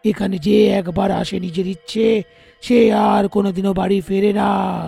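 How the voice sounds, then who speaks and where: A menacing ghost haunting a railway station for a cartoon story. He drags his words slowly, and his voice is rough and grinding with a dark, breathy edge. He taunts his victims like a cat toying with prey. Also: mid-range voice, steady tone, soft background music, heavily acted. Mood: taunting. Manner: taunting, cackling. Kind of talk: cartoon voice acting.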